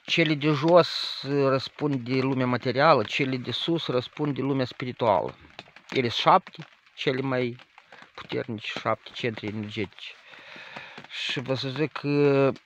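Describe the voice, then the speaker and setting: A man's voice: medium pitch, a little slow, fairly bright tone. A man from northern Moldova, speaking as a spiritual leader in a rambling monologue full of fillers, addressing listeners directly. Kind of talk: spoken monologue to viewers. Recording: slightly dull lo-fi audio with faint noise.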